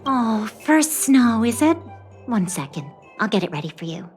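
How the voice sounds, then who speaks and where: A woman's voice: fairly high pitch, big pitch swings, moderate pace, a little mellow, faint music behind. A woman playing a polite, cheerful flower shop keeper in a video game, with a courteous, sing-song customer-service tone. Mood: cheerful, obliging. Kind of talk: video game dialogue voice acting.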